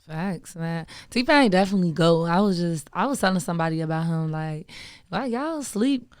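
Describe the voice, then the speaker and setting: A woman hosts a radio interview in a fairly low voice. She asks relaxed, slangy questions and drops short affirmations. The sound is dry and close. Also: moderate pace, no room sound. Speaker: radio show host. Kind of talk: casual interview.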